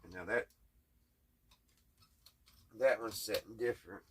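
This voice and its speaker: A man chatting casually in a halting, puzzled, folksy way, thinking out loud as he tinkers. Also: fairly low pitch, a little hiss or hum. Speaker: host of a radio repair hobby channel. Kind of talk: casual hands-on repair chat, thinking out loud.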